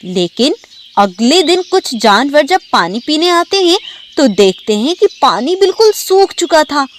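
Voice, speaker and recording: A woman's voice: sits high, sing-song, a little slow, slight background noise. A woman narrating a scripted children's animal story.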